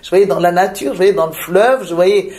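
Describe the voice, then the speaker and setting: A woman from Madagascar, a shaman, speaking in a reflective interview with frequent pauses, hesitations and repeated words. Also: fairly low pitch, a little mellow. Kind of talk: reflective spiritual interview talk.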